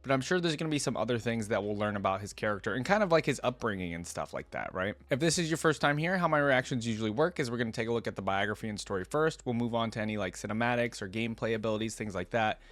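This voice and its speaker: A man's voice: mid-range voice, expressive, quick pace, few pauses, a bit bright, dry recording. A man hosting a reaction video, moving between casual commentary and reading aloud in a conversational way.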